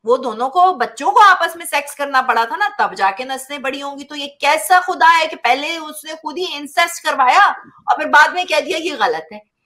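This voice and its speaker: A woman's voice: sits high, lively intonation, moderate pace, sharp tone. A young woman hosting a casual debate on a video call, making blunt points with rhetorical questions. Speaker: host. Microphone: webcam on video call.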